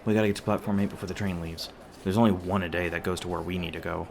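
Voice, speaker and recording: A man's voice: sits low, fairly even intonation, racing, vocal fry, crowd noise. A man playing a young demon boyfriend in an ASMR roleplay, speaking casually with teasing jokes, sweetness and hesitant asides.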